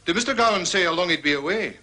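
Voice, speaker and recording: Dogged inspector, period mystery: rough-edged husky tone, forceful, animated intonation, methodical and formal. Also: male voice, high voice, very fast, heavily acted. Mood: official, probing. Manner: ponderous wording, methodical questioning. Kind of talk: period detective drama acting.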